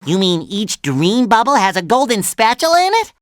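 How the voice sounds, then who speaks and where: A man voicing an excitable, naive, cheerful young cartoon sea sponge in a video game, with a squeaky nasal voice and eager, childlike earnestness. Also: normal speed, no room sound, studio quality. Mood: chatty, eager. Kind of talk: animated video game voice lines.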